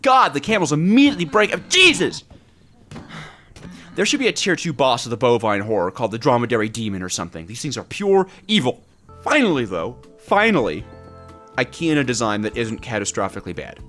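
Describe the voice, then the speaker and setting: A man's voice: medium pitch, very animated, brisk, a bit bright, soft background music. A man narrating a scripted gameplay story with dramatic, comically exasperated delivery. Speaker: gaming video creator.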